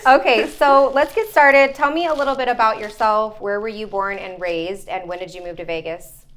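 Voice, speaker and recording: A woman's voice: medium pitch, normal speed, a bit bright. A young woman hosting a casual, chatty interview in an informal, bubbly way. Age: young adult. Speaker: podcast host and interviewer.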